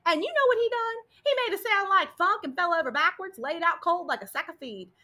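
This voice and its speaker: A woman's voice: extremely high pitch, animated, a little fast, sharp tone, voice pushed up, exaggerated voice. A woman voicing a boastful, dim-witted cowboy sheriff in folksy American Old West dialect, telling a tale with exaggerated drawling delivery. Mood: excited.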